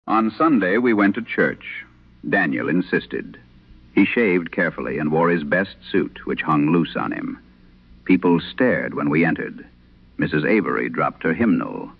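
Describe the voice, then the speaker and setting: A man narrating as a troubled wife reading her haunted letter in a vintage horror radio drama, solemn and measured. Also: very deep voice, creaky, slightly rough, heavily acted. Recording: dull lo-fi vintage radio sound.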